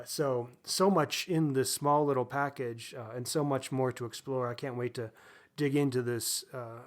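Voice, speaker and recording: A man co-hosting a podcast, discussing books conversationally with halting, thoughtful phrasing. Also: mid-range voice. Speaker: podcast co-host.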